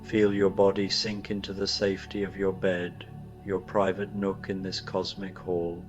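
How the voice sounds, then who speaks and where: A man with a very deep, almost monotone voice speaks slowly over clear music. He guides the listener to relax in a soft, hushed manner. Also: highs cut off. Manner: soft, soothing, slow. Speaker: sleep story host.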